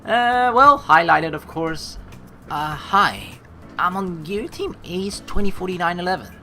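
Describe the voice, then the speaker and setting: A woman's voice: lowish voice, lively intonation, unhurried, bright, forceful tone, a little hiss or hum. A woman hosting a let's play, reading game text aloud and breaking off into casual, rambling asides. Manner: casual, rambling, self-correcting. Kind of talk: reading a visual novel aloud with chatty asides.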